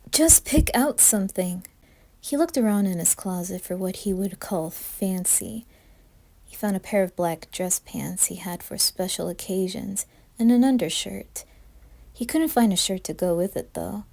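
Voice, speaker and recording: Mellow woman narrating horror. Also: mid-range voice, normal speed, creaky. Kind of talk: scripted narration.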